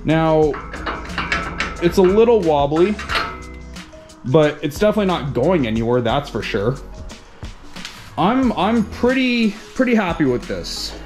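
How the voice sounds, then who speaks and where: A man from Canada casually unboxing and installing gear in his home gym, chatty and relaxed, with small restarts as he works. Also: a little high, a little slow, long pauses, soft background music. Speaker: fitness vlogger.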